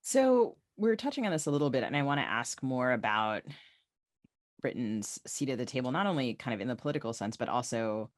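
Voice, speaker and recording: A man's voice: medium pitch, sing-song, fairly quick, no echo. Male podcast host, conversational interviewer. Dry, close sound. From United States.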